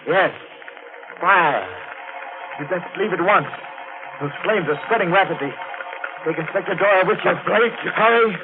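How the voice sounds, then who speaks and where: Loud music runs behind a thin old radio recording of an actor playing a mysterious avenger. His voice is fairly high, commanding and fatalistic in a melodramatic style. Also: normal speed, pauses often, phone-quality sound, lightly acted. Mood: commanding, urgent.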